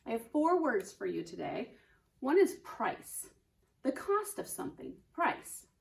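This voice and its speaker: A woman's voice: on the high side, very animated, measured pace, creaky, very noisy. A woman teaching children vocabulary in an encouraging way, repeating words for them to say back, recorded roomy and distant with music. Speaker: teaching artist, educator.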